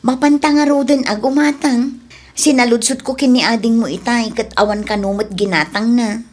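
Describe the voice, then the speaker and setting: A woman's voice: on the high side, steady tone, moderate pace, close to an everyday voice. A young woman playing a polite, thrifty student boarder in a radio comedy drama, speaking conversationally. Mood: matter-of-fact.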